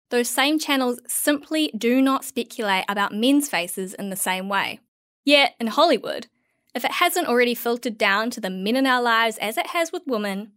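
A woman's voice: a little high, a bit bright, no echo, studio quality. A woman in her late twenties with an Australian accent, reading a scripted opinion piece she wrote. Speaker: writer reading own article.

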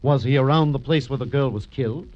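An actor playing an earnest, concerned client in a 1940s radio drama, speaking precisely and insistently. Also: male voice, medium pitch, quick pace, natural voice. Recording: muffled vintage radio recording.

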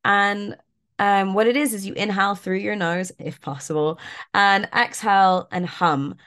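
A woman guest, a yoga and mindfulness coach, talking in a relaxed way with lots of fillers while stuffed up and a bit croaky. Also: medium pitch, unhurried. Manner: relaxed, many fillers like "like" and "you know". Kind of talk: casual interview talk, guiding an exercise.

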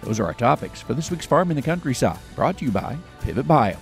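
Gravelly-voiced male farm show host. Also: medium pitch, big pitch swings, faint music behind. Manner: measured, conversational questioning. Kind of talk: radio interview.

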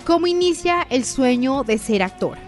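Female interviewer, friendly, slightly high pitch, light music bed. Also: animated, normal speed. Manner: friendly, laughs easily. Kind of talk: interview questions.

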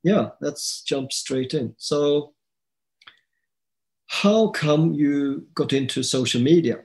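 A middle-aged man hosting a podcast, relaxed and chatty, often trailing off on "and, uh". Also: a little high, slow. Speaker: podcast host. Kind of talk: casual interview.

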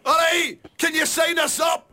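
A man puts on a loud Scottish brother for a prank call. He is high-voiced, cocky and quick to take offence, boasting in a put-on Scottish accent. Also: flat delivery, dry recording, exaggerated voice. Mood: excited. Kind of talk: prank phone call acting.

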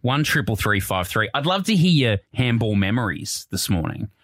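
Aussie bloke hosting breakfast radio, super up and down voice, chatty, dry studio mic. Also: male voice, fairly low pitch, very animated, moderate pace, a bit bright, dry recording. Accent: Australian. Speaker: radio breakfast show co-host. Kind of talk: casual radio banter, taking listener calls.

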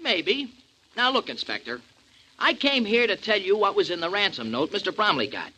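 An actor playing an earnest, streetwise small-time sidekick with an American accent and slangy, ungrammatical speech, in 1940s radio drama style. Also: male voice, sits high, big pitch swings, normal speed, bright, forceful tone, full character voice, rough recording. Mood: dutiful. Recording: vintage 1940s radio recording.

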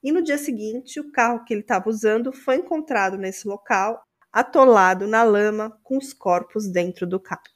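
A woman's voice: mid-range voice, normal speed. A woman co-hosting a podcast, chatting casually and picking up the story. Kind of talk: casual chat.

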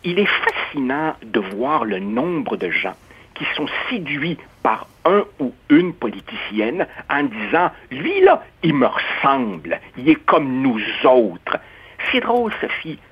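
Lively husky man on dull radio audio. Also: medium pitch. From Uruguay. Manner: measured, expressive, stammering restarts. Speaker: radio columnist. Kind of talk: opinionated radio debate.

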